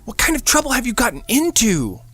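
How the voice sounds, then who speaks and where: A man's voice: very high voice, wildly swooping pitch, brisk, sharp tone, slightly rough, no room sound, soft background music, voice pushed up, heavily acted. A man voicing an incredulous, frightened young woman in love in an audiobook, with breathless questioning.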